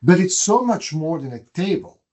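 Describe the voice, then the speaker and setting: A man's voice: high voice, very animated, normal speed, mellow. A middle-aged man from Belgium with a Flemish accent, a guest expert on a podcast, explaining step by step with frequent fillers and restarts. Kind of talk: podcast interview.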